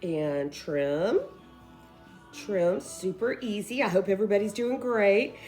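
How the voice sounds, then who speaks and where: A woman who is losing her voice, hoarsely chatting her way through a casual craft tutorial in a rambling, informal way. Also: mid-range voice, takes it slowly, darkish tone, faint music behind. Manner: rambling, informal, addresses viewers as guys. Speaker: craft video host.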